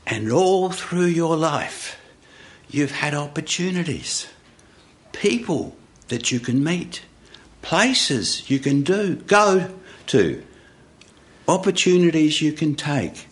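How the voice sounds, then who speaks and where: A patient, exasperated Creator from a live folk tale , acted out by a male storyteller. The deity speaks deliberately and bluntly, stressing words with lively pitch. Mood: patient, earnest.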